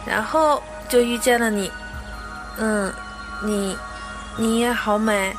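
A small, brave boy prince acted by a woman, innocent and gentle, with a high voice. It is audio drama acting, laid over clearly audible music. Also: fairly even intonation, takes it slowly, rough recording. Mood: earnest, innocent.

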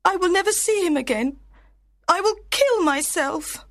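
A woman's voice: extremely high pitch, expressive, measured pace, fairly bright tone, no room sound, clean studio sound, heavily acted. A woman playing a passionate, despairing young bride with emotional delivery in an audiobook tragedy. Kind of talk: dramatised audiobook of a classic tragedy.

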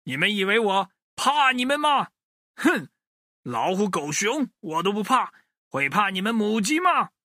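A man voicing a hot-tempered, greedy bald egg thief in a children's story, loud, boastful and threatening. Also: sits high, sing-song, measured pace, dry recording, studio quality, pitched above the performer's own voice, heavily acted. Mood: menacing.

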